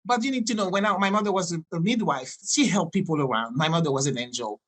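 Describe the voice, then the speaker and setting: A woman in her sixties from Haiti, a native French and Creole speaker with a Haitian accent, telling personal stories in a halting, digressive way as a podcast guest. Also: fairly low pitch, breathy edge. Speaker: podcast guest, doctor and healer. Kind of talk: conversational personal storytelling.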